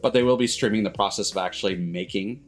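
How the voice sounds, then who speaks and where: Male livestream host, lower register, loose halting chat, light music in the background. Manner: rambling, halting, backtracking mid-sentence. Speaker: streamer host. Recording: music playing behind the voice.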